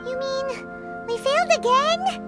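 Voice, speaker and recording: A woman voicing a tiny, cheeky, childlike fairy girl companion in a video game, squeaky and bubbly. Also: unhurried. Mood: chirpy. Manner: bubbly, refers to self in third person. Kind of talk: scripted video game dialogue. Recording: loud game music under voice.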